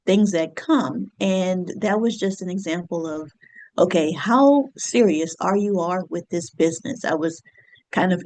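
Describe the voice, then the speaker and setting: A woman in her thirties speaks in a lowish, reflective voice as an astrologer and business coach. She talks conversationally to her audience, with frequent fillers and soft laughs. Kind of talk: casual solo talk to camera.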